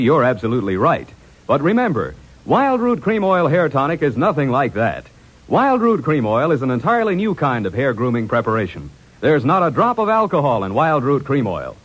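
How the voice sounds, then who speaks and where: A man announcing a 1940s radio advertisement in an emphatic salesman's style. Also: mid-range voice.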